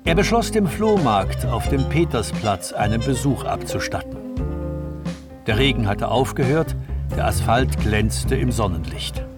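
A man narrating a radio drama from a script in a measured, descriptive manner. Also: medium pitch, lively intonation, over loud music.

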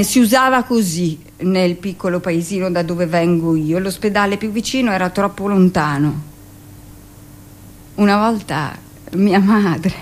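An actress playing a middle-aged village-born midwife in an intimate, expressive theatrical monologue, with dramatic pauses. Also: female voice, lowish voice, a little hiss or hum. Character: 45-year-old village-born midwife, recounting childhood, emotional, earnest.

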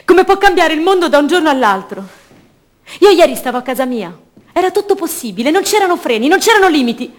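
High-voiced, intense young woman activist. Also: very animated, quick pace. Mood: fervent. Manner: intense, swinging from hushed repetition to fervent declaiming. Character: young Argentine student activist, imprisoned and tortured, passionate, idealistic. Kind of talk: theatrical monologue for radio drama.

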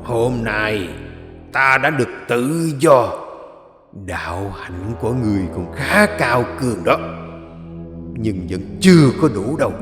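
A man's voice: medium pitch, lively intonation, slow pace. A man voicing a vengeful evil spirit in a horror story, taunting and menacing in a theatrical way. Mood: taunting, triumphant. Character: vengeful gloating evil spirit freed from a seal. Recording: echoey long reverb with clear music.

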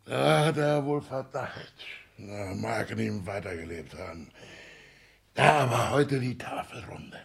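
An actor playing an exultant, conspiratorial schemer in a 1960s German radio drama, gravelly and theatrical, moving between hushed whispers and outbursts. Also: male voice, medium pitch, expressive, slow pace, heavily acted. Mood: exultant, gloating. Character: exultant, conspiratorial schemer gloating over his conquest. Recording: 1960s studio radio recording.

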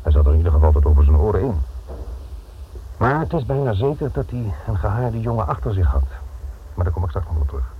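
Stern, low-voiced police inspector, tinny recording. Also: male voice, big pitch swings, fast talker. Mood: stern warning. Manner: formal, clipped, dryly sceptical, lecturing. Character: stern, sardonic male police inspector warning an amateur. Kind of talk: radio play acting. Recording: tinny, band-limited old radio recording with noise.

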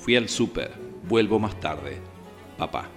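A man's voice: on the low side, lively intonation, slow. A man narrating a fantasy quest as a solemn storyteller in a radio drama. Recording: long reverb, loud music behind.

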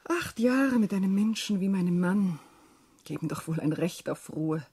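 An actress playing a weary, shrewd young widowed landowner in a German radio play, husky and speaking in a measured, reflective way. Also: female voice, mid-range voice, animated, mellow.

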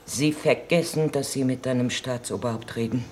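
An actress playing a blunt, unsentimental nurse who reluctantly speaks for a government, curt and matter-of-fact in a 1950s radio play. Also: female voice, rumbling low, moderate pace, a little mellow. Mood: cool, flat. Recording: noisy 1950s radio recording.

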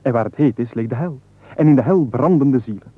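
An actor playing a penniless, wily squire and unstoppable babbler in a comic radio play, with flowery, wordy, mock-deferential speech. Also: male voice, mid-range voice, fast talker, breathy edge, dry recording, natural voice. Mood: glib, rambling. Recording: muffled narrow-band 1980s radio recording.

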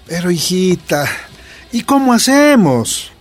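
An actor playing a gentle, weary father with a Bolivian accent in a radio soap opera. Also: high-pitched, big pitch swings, slow, heavily acted. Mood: hesitant. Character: gentle, weary Bolivian father, firm about daughter's schooling.

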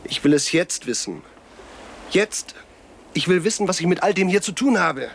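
An actor playing a stubborn, suspicious explorer in a 1970s German radio drama, speaking in terse, probing phrases. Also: male voice, high-pitched, animated, normal speed, creaky, slight background noise. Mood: defiant. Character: stubborn, suspicious male explorer alone on a deserted island.

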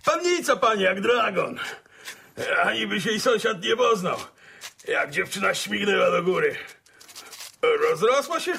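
An actor playing a folksy, rambling older country squire in a radio drama, stammering through verbal tics with a gravelly, husky voice. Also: male voice, sits high, very animated, unhurried, pauses often, pushed and bright, a little hiss or hum, heavily acted. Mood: chatty, proud. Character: chatty, folksy older country squire, proud father and husband.

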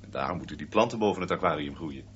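A man's voice: a bass voice, animated, fast talker, a bit bright. An actor playing a man who proudly explains his aquarium, in naturalistic radio drama dialogue. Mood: eager, informative. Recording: dull radio play with faint hum.

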